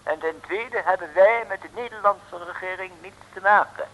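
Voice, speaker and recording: An actor playing a haughty, threatening, crooked mayor in an old Dutch radio play, speaking pompously and formally. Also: male voice, high-pitched, normal speed, a bit bright. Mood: mocking, menacing. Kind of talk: 1950s-60s Dutch radio play acting. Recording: old radio recording, reverberant hall.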